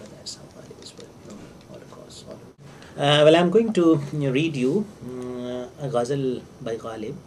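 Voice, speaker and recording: An older man with an Indian accent and Urdu as his first language, giving an informal literary lecture in deliberate phrases with hesitations and repetitions. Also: mid-range voice, a little mellow. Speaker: English professor, visiting scholar. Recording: distant microphone with clear background noise.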